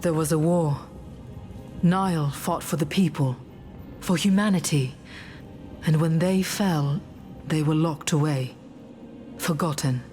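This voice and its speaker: A woman playing a solemn, earnest heroine in a fantasy game, speaking in a measured, serious way. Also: sits low, warm tone. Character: solemn gauntlet-wielding heroine, earnest and steady. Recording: music behind.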